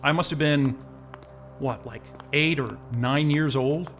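A man's voice: a little high, takes it slowly, faint music behind. A man acting in an audio drama as a confused, impatient interview candidate who rambles and hesitates in a casual way. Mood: wistful, rambling.

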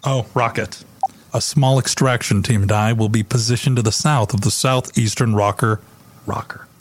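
A man's voice: medium pitch, steady tone, moderate pace, a little hiss or hum. A man recording a long line again and again as he stumbles on it. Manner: repeats a long line, trips on it. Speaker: voice actor recording lines.

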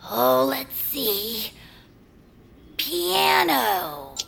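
A woman's voice: high voice, sing-song, very slow, gravelly, fairly bright tone, slightly rough, heavily acted. A woman playing an anxious, reluctant game-show contestant in an audio drama, hesitant, pleading and easily exasperated. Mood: nervous. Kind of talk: audio fiction acting.

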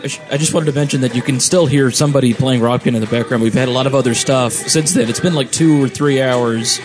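Man, mid pitch, casual chat, dry wry humour, music clearly audible behind. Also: quick pace. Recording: music playing in the background.

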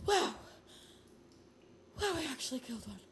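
A woman's voice: sits high, sing-song, moderate pace, background noise. A woman playing a guarded, blunt but vulnerable American teenage girl in an audio drama, earnest and slangy. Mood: amazed, blunt. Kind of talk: naturalistic audio drama acting.

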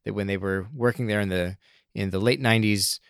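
Guest editor, man, low voice, measured and hesitant, dry studio sound. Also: fairly even intonation, creaky, fairly bright tone, clear, resonant tone, no room sound. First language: not Russian, speaks English. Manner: thoughtful, hesitant, with frequent restarts and "you know". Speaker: managing editor of a news website, guest. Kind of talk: radio interview answers.

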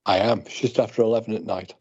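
A man's voice: fairly low pitch, steady tone, quick pace, a little mellow, slightly rough. A British man co-hosting a podcast in casual chat, with a wry, understated manner. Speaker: podcast co-host. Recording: dull lo-fi call audio.

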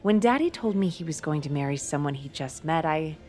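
Adoring daughter, very lively pitch. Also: female voice, fairly quick. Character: earnest, adoring youngest daughter, sweetly intense. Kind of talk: comedic audio drama acting.

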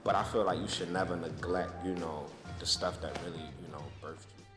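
A man with a very deep, low voice speaks earnestly, filling his sentences with "you know". He sounds like he is in a large, echoing hall with long reverb. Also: steady tone, a little fast, music in the background. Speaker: author. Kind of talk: interview clip. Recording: echoey hall clip.

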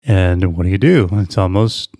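A man with a deep, soft-edged voice hosts a conversational podcast interview. His tone is dark and mellow. The sound is very dry, with little room around him. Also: moderate pace, no room sound.

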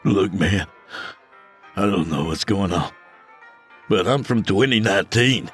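A man's voice: sits low, animated, measured pace, faint music behind, pitched above the performer's own voice. A man voicing a frightened modern young man, nervous and stammering. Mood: bewildered.

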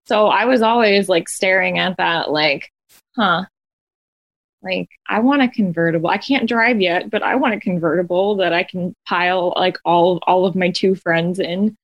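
A woman with a strong New Jersey accent, a podcast guest telling stories in halting, filler-heavy casual chat. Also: on the low side, lo-fi sound.

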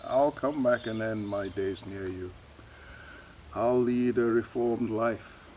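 Slow-spoken, rueful older man acted. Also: fairly low pitch, darkish tone, slight background noise. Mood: wry, fond. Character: ageing medic father, wry, fond, evasive, self-mocking. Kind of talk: naturalistic audio drama acting.

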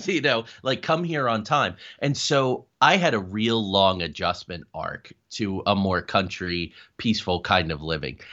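An American man from New Jersey telling personal stories as an interview guest, in an excitable, high-energy, digressive way. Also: muffled recording. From New Jersey, United States. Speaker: podcast guest, paranormal investigator. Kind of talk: conversational personal storytelling.

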